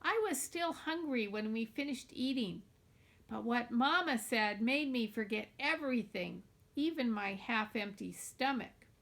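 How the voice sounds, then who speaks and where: A woman reading a children's novel aloud in a deliberate, expressive storytelling manner, like a teacher with a class book. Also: on the high side.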